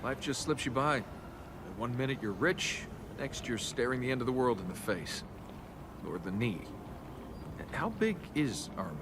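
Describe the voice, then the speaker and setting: A man's voice: medium pitch, sing-song, normal speed, close to an everyday voice. An actor voicing a cocky, wry young male adventurer in a video game, flippant and conversational. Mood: wry, casual. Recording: game audio with noisy ambience behind.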